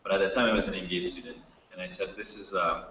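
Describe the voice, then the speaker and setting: A man's voice: low-pitched, fairly even intonation, fast, pushed and bright, muffled recording, slight background noise. A man giving a casual startup talk to students, telling his own story. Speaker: startup co-founder speaker. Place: hall with a live audience. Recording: echoey hall, mic from far off.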